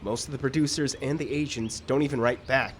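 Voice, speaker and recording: A man plays a downbeat would-be writer in a live radio play. His mid-pitched voice is hesitant and rueful, with some outdoor stage noise behind it. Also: fairly even intonation, fairly quick, close to an everyday voice. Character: hesitant, downbeat male struggling screenwriter. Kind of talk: live radio drama acting.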